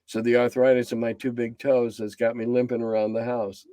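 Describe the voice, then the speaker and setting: A man's voice: flat delivery, a little fast, no room sound. A man in his seventies, a game designer chatting bluntly and ramblingly as an interview guest. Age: 70s. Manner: blunt, rambling, drops low and quiet as he winds down. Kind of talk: casual interview chat.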